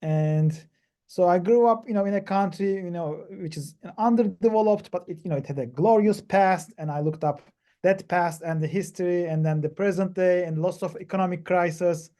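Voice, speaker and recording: A man from Turkey giving a webinar presentation on a video call, talking haltingly with many fillers and restarts. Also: high-pitched, mellow. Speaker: PhD panelist.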